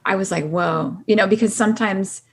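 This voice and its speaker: A woman's voice: mid-range voice, normal speed, husky. A woman guest on a podcast, talking casually and effusively, peppering her speech with "like" and "you know". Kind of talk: casual chat, personal reflection.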